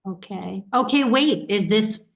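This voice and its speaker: A woman acts out a young adult older sister in a contemporary stage play. She has a medium-pitched voice and talks in a dry, casual, teasing way, with affection underneath. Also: a little slow, gravelly. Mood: playful, affectionate.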